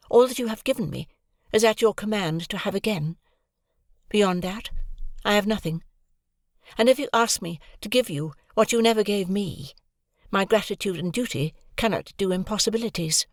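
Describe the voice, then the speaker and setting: A woman voicing a proud, composed young woman who speaks in a measured, cool way, in an audiobook reading. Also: mid-range voice, no echo, studio quality, pitched above the performer's own voice, lightly acted. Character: cold proud young woman, calm and reasoning.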